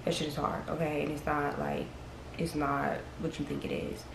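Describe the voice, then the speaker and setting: A woman's voice: low voice, little pitch movement, normal speed, gravelly. A young woman in her early twenties, chatting frankly and rambling as she tells her story to camera. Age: early 20s. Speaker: vlogger. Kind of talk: casual chat to camera. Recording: echoey room with audible background noise.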